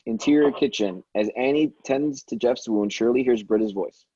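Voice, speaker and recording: A man reads screenplay action lines as a scripted narrator. His tone is relaxed and a little husky. The recording is dry and slightly dull. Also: medium pitch, normal speed, no echo. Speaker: stage-direction reader at a table read.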